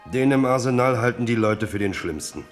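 A man's voice: medium pitch, animated, brisk, faint music behind, natural voice. An actor playing a frank, sly soldier courtier who needles his king loyally in a German radio drama. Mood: blunt, provoking. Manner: frank, sly, feigns indifference.

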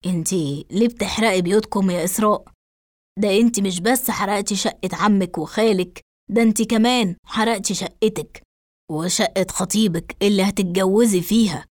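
A woman voicing a calm, probing male police investigator in an audio story, measured and questioning, with an Egyptian accent. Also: mid-range voice, no echo, clean studio sound, natural voice. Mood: calm, suspicious.

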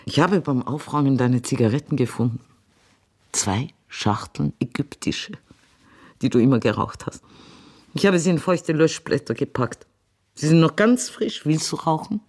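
An elderly, dignified mother in a radio play, speaking to her grown son. Her intonation is very lively, swinging widely between phrases. She uses old-fashioned diction with halting, searching pauses. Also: deep voice, slightly rough, exaggerated voice. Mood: tender, fussing. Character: elderly, dignified, fond mother of a returning soldier. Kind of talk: German radio play acting.

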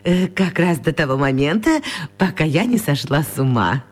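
A woman's voice: low voice, big pitch swings, normal speed. An actress playing a chatty, eccentric elderly woman pensioner with a genteel, coquettish manner in a children's radio play. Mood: warm, curious.

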